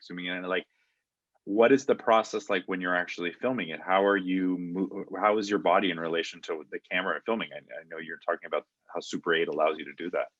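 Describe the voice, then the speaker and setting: A man's voice: low-pitched, fairly bright tone, no echo. A man interviewing an artist, asking questions casually and thinking aloud with many fillers. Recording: dry, dull lo-fi sound.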